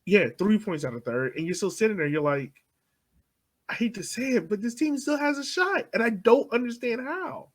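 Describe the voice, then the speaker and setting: Animated man with a higher voice. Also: moderate pace. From United States. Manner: animated, thinking aloud. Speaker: podcast co-host. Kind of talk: casual sports chat.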